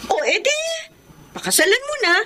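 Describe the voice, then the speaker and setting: An actress playing a devoted, hopeful young daughter in a Filipino radio drama, expressive and earnest. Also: female voice, very high-pitched, normal speed, slight background noise, exaggerated voice. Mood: bright.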